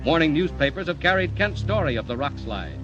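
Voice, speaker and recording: Old radio serial narrator guy, dramatic, fairly high voice, music going behind him. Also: big pitch swings, a little fast, lo-fi sound. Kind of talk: 1940s dramatic scripted narration.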